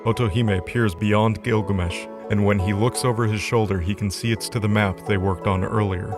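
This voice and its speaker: A male narrator reads a scripted fantasy tale in a slightly low voice. Music plays clearly underneath the narration, in audio drama style. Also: fairly even intonation, moderate pace.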